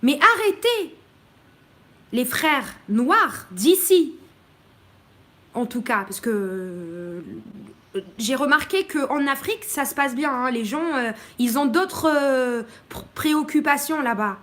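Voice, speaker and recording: A woman's voice: high voice, lively intonation, slow pace, fairly bright tone. A woman from Morocco speaking bluntly in a live-stream monologue, greeting viewers as she goes and often hesitating mid-sentence. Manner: outspoken and blunt, with many hesitations.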